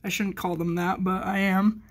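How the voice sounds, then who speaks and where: A woman's voice: medium pitch, little pitch movement, normal speed. A woman chatting informally in a hobby vlog, rambling and thinking aloud as she goes. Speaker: hobbyist video maker. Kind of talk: casual vlog chat.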